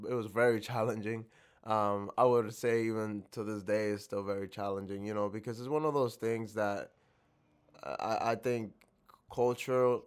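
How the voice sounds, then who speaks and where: Low, smooth-voiced young man from the Dominican Republic. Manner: reflective, many fillers and restarts. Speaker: interview guest. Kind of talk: casual interview answers.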